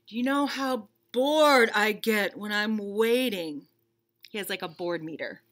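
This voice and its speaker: A woman's voice: medium pitch, a little slow, fairly bright tone. A woman in her thirties reading a picture book aloud expressively, with emphatic, instructive delivery, like a teacher. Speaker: children's read-aloud storyteller, teacher. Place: small indoor room, seated.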